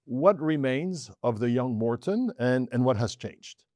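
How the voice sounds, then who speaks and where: Male interviewer, mid-pitched voice, attentive and composed, dry recording. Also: animated, unhurried, warm tone, dry recording. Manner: attentive, brief affirmations, composed questions. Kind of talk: interview questions.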